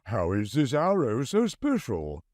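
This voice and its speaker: A man narrator voices an abbot from a children's storybook, shrewd and curious. He weighs things in a measured way while his pitch moves about freely. The recording is dry and close. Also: huge pitch swings, a little mellow, dry recording. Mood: intrigued. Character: shrewd male abbot, the villain's curious friend.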